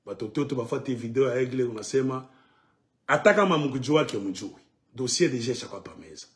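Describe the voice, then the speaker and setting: A man's voice: medium pitch, fairly quick. A middle-aged man, delivering an impassioned, emphatic political monologue to camera at home. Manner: emphatic, animated, sweeping arm gestures. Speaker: political commentator. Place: living room at home, indoors.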